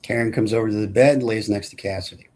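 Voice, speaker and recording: A man with a fairly low voice reads film stage directions aloud. His delivery is plain and matter-of-fact, as scripted narration at a table read. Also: steady tone, fast, a little mellow.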